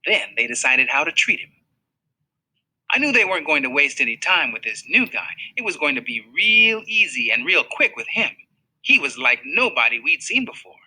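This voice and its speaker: A man reading a children's novel aloud in an expressive storytelling manner. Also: a little high, normal speed, poor audio. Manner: expressive storytelling, voices for characters. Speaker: reader.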